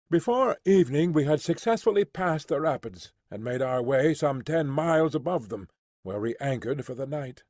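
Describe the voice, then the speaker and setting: A man narrating an audiobook in a measured, even storytelling manner, close to the mic. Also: on the high side, no room sound. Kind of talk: scripted narration.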